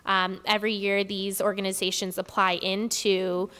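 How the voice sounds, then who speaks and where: A woman's voice: mid-range voice, level tone, bright, forceful tone, clean tone. Woman chairing a meeting in a reverberant hall. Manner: polite, measured, with frequent fillers. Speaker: commission chair. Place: community hall meeting room.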